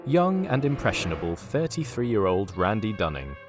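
A grandiose documentary narrator in an audio comedy, low-voiced and lively in intonation. He delivers scripted lines with dramatic mock seriousness over background music. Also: normal speed. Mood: mock-serious, dramatic. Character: wry, grandiose male documentary-style narrator.

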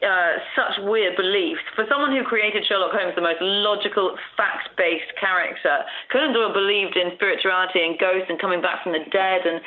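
A chatty podcast co-host who tells trivia and jokes along the way. The sound is thin and narrow, like a phone line. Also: medium pitch. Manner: chatty and quick to joke. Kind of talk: casual chat telling trivia.

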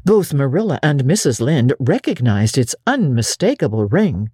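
A woman narrating a classic novel as an expressive, dramatic audiobook reader. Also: very deep voice, slightly rough, dry recording, clean studio sound.

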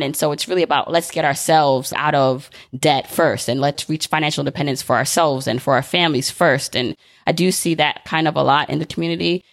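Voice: medium pitch, gravelly, a bit bright, no echo, clean studio sound. A podcast host in casual conversation, framing long, conversational questions. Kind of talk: casual interview chat.

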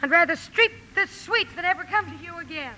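A woman's voice: very high-pitched, expressive, a little fast, pushed and bright, exaggerated voice. A woman acting a proud, headstrong, tomboyish young woman in a 1950s radio drama, speaking forthrightly. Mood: impatient, proud. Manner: forthright, emphatic. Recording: noisy vintage radio recording.

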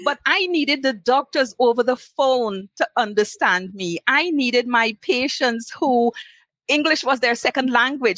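Trinidadian woman nurse, higher voice. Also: fairly bright tone, no echo. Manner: earnest, emphatic, lists items. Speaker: international nurse guest. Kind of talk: panel talk.

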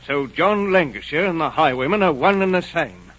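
A man's voice: a little high, animated, normal speed, fairly bright tone. An actor playing a stern but loving older innkeeper father, earnest and firm, in 1940s radio drama style. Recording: muffled, noisy 1940s radio recording.

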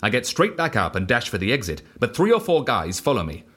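An actor playing a reckless, exhilarated man on the run who lives by the dice, in an audio drama, excitable and evangelical yet matter-of-fact about outrageous deeds. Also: medium pitch, fairly quick, slightly rough, exaggerated voice. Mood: earnest confession.

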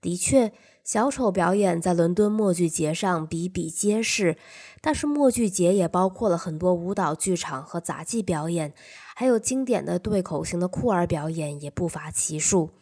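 A woman's voice: fairly low pitch, animated, normal speed, dark tone. A woman podcast host reading a scripted, first-person arts review.

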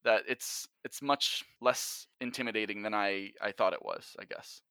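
A man's voice: on the low side, normal speed, pushed and bright, smooth, dry recording. A man in a casual, reflective conversation as a podcast guest, speaking candidly and hesitantly in a self-effacing way. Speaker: podcast guest, actor and writer of audio drama.

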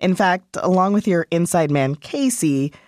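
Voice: mid-range voice, expressive, unhurried, darkish tone, dry recording, studio quality. A host guiding an interview in a conversational, presenterly style.

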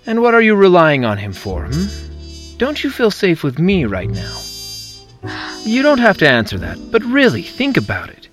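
An actor playing a smooth-talking, seductive male president in an audio drama, coaxing and persuasive. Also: sits high, wildly swooping pitch, moderate pace, fairly bright tone, soft background music, exaggerated voice.

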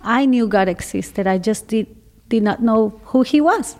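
Soft-spoken Costa Rican woman guest. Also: on the high side. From Costa Rica. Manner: gentle, thoughtful, with pauses and restarts. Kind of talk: personal testimony in a podcast interview.

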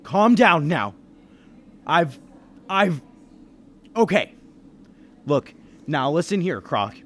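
A man's voice: fairly high pitch, sing-song, drawn-out words, a bit bright, heavily acted. An actor playing a suspicious businessman who takes charge with folksy authority in a 1930s-style radio mystery. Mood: suspicious, commanding. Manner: commanding, folksy interrogator. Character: suspicious businessman narrator taking charge of a murder inquiry.

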